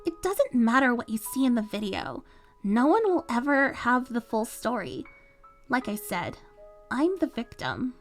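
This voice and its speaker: A woman voicing a spoiled, scheming teenage rich girl with a high, whiny, sneering voice. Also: animated, unhurried, faint music behind. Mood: sulky. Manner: sneering, self-pitying.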